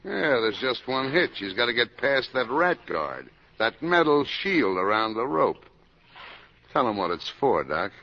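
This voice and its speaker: An actor playing a tough, wry American police captain, brisk and colloquial, in a 1950s radio drama. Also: male voice, mid-range voice, big pitch swings. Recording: muffled vintage radio recording.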